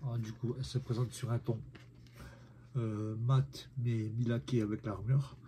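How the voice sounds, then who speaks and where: A man's voice: mid-range voice, little pitch movement, moderate pace, background noise. A man with a head cold, casually reviewing a collectible figurine for viewers, hesitating often. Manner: hesitant, many "euh" fillers, speaks to viewers. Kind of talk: casual unboxing vlog.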